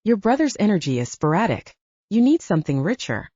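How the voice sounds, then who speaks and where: A woman's voice: mid-range voice, big pitch swings, a little fast, dark tone, breathy edge, no echo. A woman voicing a stern, protective man with a clipped, commanding manner, in a dull audiobook recording. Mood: stern, curt. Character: stern, protective supernatural man, curt and formal.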